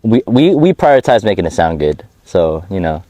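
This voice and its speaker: Laid-back low-voiced rapper guy. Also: big pitch swings, normal speed, dark tone, dry recording, muffled recording. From United States. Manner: laid-back slangy talk, laughs often. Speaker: rapper being interviewed. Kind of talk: casual interview chat on a hike. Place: outdoors on a hike.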